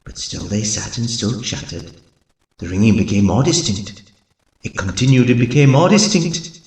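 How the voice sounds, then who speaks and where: A man performing a deranged, boastful murderer who confesses in a gothic horror tale, with theatrical intensity and halting emphasis that suddenly swells. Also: mid-range voice, animated, roomy sound, close to an everyday voice, poor audio. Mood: growing dread. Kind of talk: dramatic gothic horror story reading.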